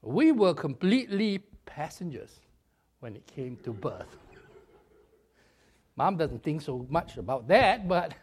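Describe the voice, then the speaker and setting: Preacher guy, a bit raspy n hoarse from a cold, lively and chatty, pokes fun at himself. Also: fairly high pitch, slow, long pauses. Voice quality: slightly raspy. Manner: animated, chatty, jokes at his own expense. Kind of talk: sermon with storytelling.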